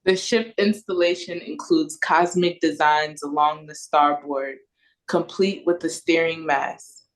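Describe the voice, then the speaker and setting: A woman's voice: sits low, steady tone, unhurried, poor audio. A woman with an American accent reading stage directions as narrator at an audio play read-through. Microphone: video call.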